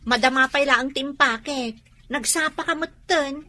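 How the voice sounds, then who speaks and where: Young country friend, Ilocano accent, high pitch, bright sharp tone, chatty, radio drama. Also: female voice, measured pace.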